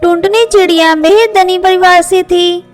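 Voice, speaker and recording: A woman's voice: extremely high pitch, steady tone, moderate pace. A woman narrating a scripted children's story. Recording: faint music under voice. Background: faint music.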